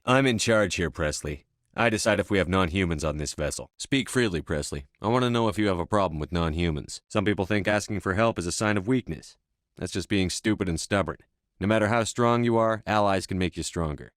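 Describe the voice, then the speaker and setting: A commanding military starship officer from a video game. His voice sits fairly low and is captured dry, with no room around it. He speaks in short, firm, controlled phrases with natural authority. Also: a bit bright, dry recording. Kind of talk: video game dialogue acting.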